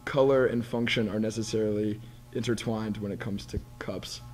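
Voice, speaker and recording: A man's voice: fairly low pitch, steady tone, normal speed, music in the background. A young man earnestly giving his opinion in a living room. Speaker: interviewee. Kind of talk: casual chat.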